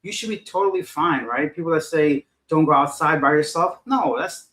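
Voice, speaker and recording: A man's voice: fairly high pitch, animated, normal speed, a bit bright. Rambling male livestream host reading chat. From Afghanistan. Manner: rambling, loses his train of thought, self-deprecating. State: tired and unfocused. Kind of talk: casual live chat.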